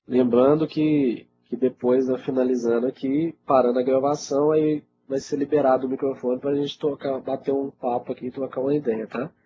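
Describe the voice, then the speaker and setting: A man's voice: medium pitch, little pitch movement, normal speed, few pauses. A Brazilian man with a cold, teaching IT and answering questions in an informal, conversational webinar style, often checking in with his listeners. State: congested with a cold. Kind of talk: casual live webinar Q&A. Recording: dull lo-fi webinar audio, loud noise.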